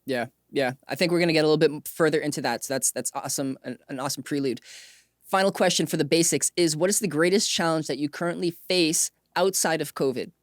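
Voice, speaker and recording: A woman's voice: sits low, fast talker, no echo, studio quality. A woman hosting an interview in a casual, informal way, often affirming with short repeated acknowledgements. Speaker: interview host.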